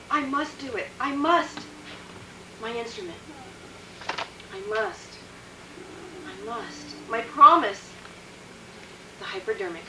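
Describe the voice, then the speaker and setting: Anguished, high-voiced woman doctor. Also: pushed and bright, full character voice. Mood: frantic, anguished. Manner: halting, dramatic, intense. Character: anguished woman doctor, solemn, fearful experimenter. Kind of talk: theatrical radio drama acting. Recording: noisy, echoey, distant radio recording.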